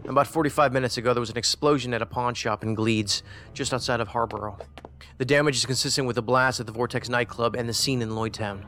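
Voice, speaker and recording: Guy acting brainy detached federal agent, mid voice, deliberate, sci-fi audio drama. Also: medium pitch, fairly even intonation, a bit bright, faint music behind, lightly acted. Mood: businesslike. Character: analytical, aloof male federal investigator in charge.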